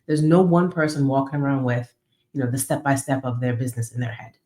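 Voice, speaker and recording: A woman's voice: rumbling low, fast, a little mellow. A woman with an American accent telling her story casually as a guest in a podcast interview. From United States. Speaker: podcast guest, business owner.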